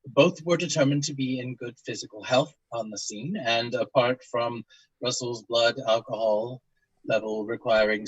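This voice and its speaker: Actor as a husky, clinical male investigator. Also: medium pitch, dry recording, muffled recording. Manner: clinical, measured, hesitant with pauses. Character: formal, methodical paranormal investigator, male, narrating case tapes. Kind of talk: audio drama, scripted case-file narration and interview.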